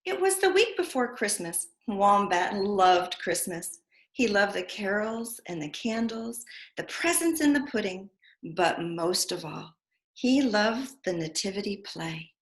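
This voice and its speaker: A woman reading a picture book aloud to young children with expressive storytelling. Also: mid-range voice, measured pace. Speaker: preschool storyteller. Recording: echoey room.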